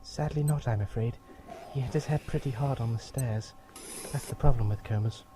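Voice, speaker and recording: Gentle, medium-pitched man, an actor in character. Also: normal speed, slight background noise, natural voice. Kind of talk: audio drama acting.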